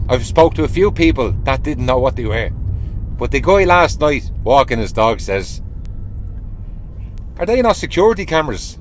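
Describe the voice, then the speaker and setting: Hoarse Dublin man, sore throat. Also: mid-range voice, a little hiss or hum, rough recording. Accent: Irish, Dublin. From Ireland. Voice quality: conspiratorial, teasing, repeats phrases. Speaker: channel host. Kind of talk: casual monologue to camera.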